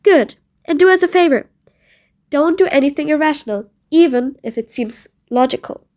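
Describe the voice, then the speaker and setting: A high-pitched female supervillain, acted by a woman for an audio roleplay. She is bossy and sardonic, mean yet patient, and her menace comes with a grudging fondness for the one she addresses. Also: lively intonation, moderate pace, darkish tone. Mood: stern warning.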